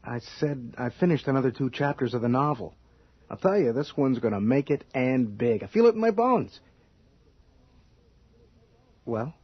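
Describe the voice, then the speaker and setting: Give me an irritable, overbearing writer husband in a radio mystery, husky and agitated. His pitch jumps around as he grows alarmed, all on a dull old recording. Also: animated, normal speed, long pauses, no room sound. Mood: needy, sarcastic. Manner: agitated, hesitant, exclaiming. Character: tense, irritable male novelist, overbearing husband growing alarmed. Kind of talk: old-time radio drama acting.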